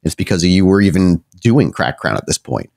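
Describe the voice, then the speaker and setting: A man's voice: sits low, very animated, brisk, creaky, a little mellow. A man working as a game master, narrating a tabletop roleplay podcast with dramatic, cinematic scene-setting.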